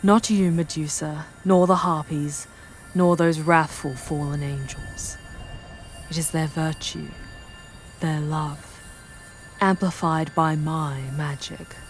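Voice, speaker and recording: A woman playing a charming, proud yet tender female demon guide in an ASMR fantasy roleplay, measured and intimate, often dropping to breathy whispers. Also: deep voice, steady tone, faint music behind. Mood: defiant, teasing.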